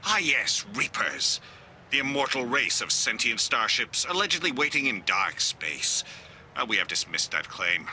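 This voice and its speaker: A man's voice: medium pitch, very animated, moderate pace, bright, forceful tone, a little hiss or hum. An actor playing a dismissive, haughty male alien councilor in a video game, with condescending formality. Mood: scornful. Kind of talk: video game dialogue acting.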